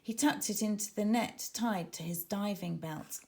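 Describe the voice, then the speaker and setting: A woman reading her children's book aloud as a dramatic, suspenseful and expressive narrator. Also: medium pitch, moderate pace, creaky. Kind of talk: expressive scripted story narration.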